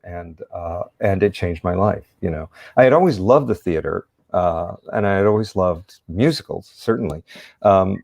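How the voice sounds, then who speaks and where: Low-voiced older man reminiscing. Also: darkish tone, dry recording, clean studio sound. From San Diego, United States. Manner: reflective, rambling with restarts. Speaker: guest, theater actor. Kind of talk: casual interview chat, storytelling.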